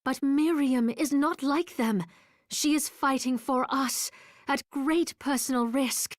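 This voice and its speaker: A woman playing a composed, gently authoritative ally in a video game, speaking in a measured, formal way. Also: high voice, no echo, studio quality. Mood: firm.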